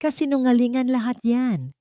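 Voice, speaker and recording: A woman's voice: on the high side, normal speed, warm tone, no echo, clean studio sound, voice pushed up, natural voice. A woman voicing a stern, proud, wealthy older father in an audio love drama, hard and commanding. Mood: defensive.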